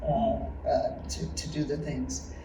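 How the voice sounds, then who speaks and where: An interviewer leading a conversational oral history discussion in a halting, thoughtful way, repeating words along the way. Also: medium pitch, little pitch movement. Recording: roomy echo, distant mic, faint noise.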